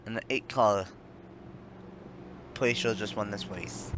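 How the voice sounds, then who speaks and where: A man's voice: lowish voice, steady tone, unhurried, noisy background. A man giving rambling, self-correcting play-by-play commentary on a simulated stock car race. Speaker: sim racing commentator.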